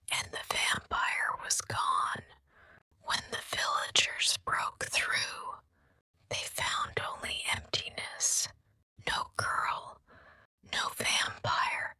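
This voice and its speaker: A woman whispers a gothic story slowly and softly, right up against a close microphone. Her whisper is husky and airy, with a gravelly edge, and the room sounds dry and still. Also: very deep voice, dry recording. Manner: slow breathy whisper throughout. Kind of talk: whispered ASMR story narration.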